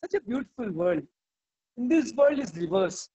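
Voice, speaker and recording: A man, reading verses aloud and then explaining them with animated emphasis in a scripture class held over a video call. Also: extremely high pitch, normal speed, husky. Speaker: class speaker. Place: indoor room at home. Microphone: video call, laptop or phone camera. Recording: dull lo-fi video call audio.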